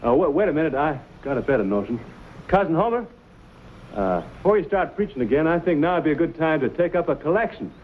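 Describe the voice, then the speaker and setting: An actor playing an easygoing, dryly wry frontier adventurer in an old Western drama, speaking with folksy politeness. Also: male voice, fairly high pitch, expressive, quick pace. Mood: calm, wry authority. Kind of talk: mid-century Western drama acting. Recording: dull, lightly noisy old broadcast recording.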